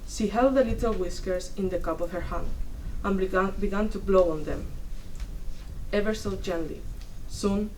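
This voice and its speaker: A young woman giving a dramatic reading of a story, in measured, deliberate short phrases. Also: mid-range voice, a little mellow. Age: young adult. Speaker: student performer in a dramatic reading. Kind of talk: dramatic storytelling read from a script. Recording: distant mic, noisy room.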